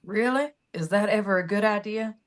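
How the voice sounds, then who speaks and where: A woman's voice: mid-range voice, moderate pace, slight background noise, lightly acted. A woman acting a plucky, resourceful mother with a wry streak in a screenplay table read. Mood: doubtful.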